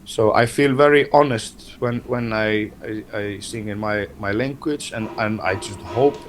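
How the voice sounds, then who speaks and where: A man from Iceland, Icelandic his first language, speaking English in a casual interview, soft-spoken and hesitant, often repeating words. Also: lowish voice, a little slow, a little hiss or hum. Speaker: band member, interview guest.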